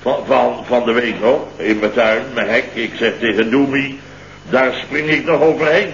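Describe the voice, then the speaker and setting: An actor playing a boastful, blustering middle-aged boss in a comedy radio play, rambling, stammering and self-important. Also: male voice, mid-range voice, steady tone, moderate pace, fairly bright tone, lightly acted. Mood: flustered. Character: blustering, boastful fifty-year-old male boss. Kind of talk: 1970s Dutch comedy radio play acting. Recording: echoey, muffled, noisy vintage radio recording.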